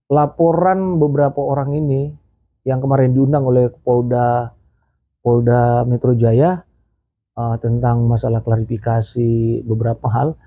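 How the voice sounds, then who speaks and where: Man talking through a tinny phone-like line. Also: medium pitch. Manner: rambling with frequent fillers. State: slight cough. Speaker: host. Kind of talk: casual political commentary.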